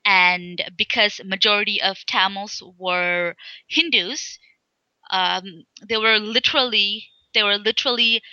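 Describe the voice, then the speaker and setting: Bright-voiced woman, halting speech. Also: medium pitch, clean tone, no echo, highs cut off. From Sri Lanka. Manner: halting, many fillers and restarts. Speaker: interview guest, blogger. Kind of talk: casual interview talk.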